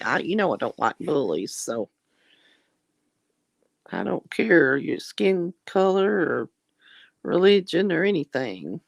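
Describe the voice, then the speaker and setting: A woman chatting casually with her viewers in a folksy, religious way, calling them honey. She keeps clearing her throat as her voice gives out. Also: low-pitched, no room sound. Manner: religious, often says Lord have mercy. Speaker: vlogger reading viewer comments. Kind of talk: casual live chat.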